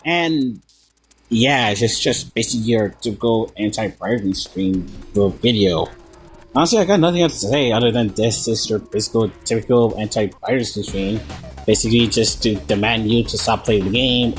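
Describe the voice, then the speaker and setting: A man giving informal video review commentary in a sarcastic, casually sweary manner. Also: fairly low pitch. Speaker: video essayist. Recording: background music under voice. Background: music.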